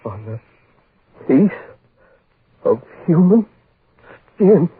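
An actor playing a horror-stricken man in a 1940s radio horror play, gasping out halting phrases on a noisy old broadcast. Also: a little high, very animated, phone-quality sound, heavily acted. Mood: horrified. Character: horror-stricken man reading out a dreadful message.

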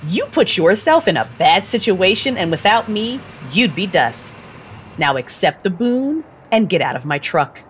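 Tough low-voiced vampire woman, animated actress playing. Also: a little slow, a little hiss or hum. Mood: stern. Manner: blunt, commanding, hard-edged. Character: tough, bossy, calculating female vampire fixer. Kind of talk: audio drama dialogue.

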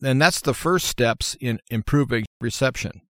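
A man's voice: mid-range voice, normal speed, a bit bright, dry recording, clean studio sound. An American man hosting a show, giving informal how-to advice in a plainspoken, folksy, explanatory way. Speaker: radio show host.

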